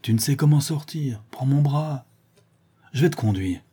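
A rough, honest storybook tough with a compassionate streak, acted by a male reader. He talks plainly and earnestly, somewhat high, with a soft mellow grain. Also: lively intonation, moderate pace. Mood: reassuring. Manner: plain-spoken, earnest, colloquial. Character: rough, savage-looking man, compassionate and honest.

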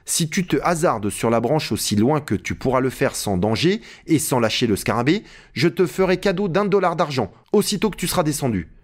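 A man voicing an agitated, imperious gentleman treasure hunter who calls out orders in a storybook. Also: a little high, animated, fairly quick, pitched above the performer's own voice. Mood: scolding, bossy.

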